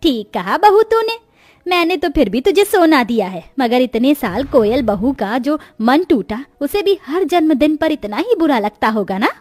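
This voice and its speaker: A woman's voice: sits high, sing-song, moderate pace, darkish tone, no echo, faint music behind. A woman playing a firm, fair-minded middle-aged mother-in-law in a cartoon moral story, with a commanding, matronly manner. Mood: reproving.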